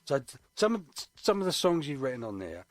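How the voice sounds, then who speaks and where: A man's voice: mid-range voice, big pitch swings, brisk, no echo. A man hosting a casual radio interview, effusive and often stumbling over the starts of his words. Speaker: radio host. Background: music playing underneath.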